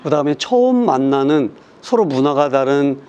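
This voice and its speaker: A man on a discussion panel, recounting a conflict in an earnest, measured way. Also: on the high side, big pitch swings, mellow, smooth, muffled recording.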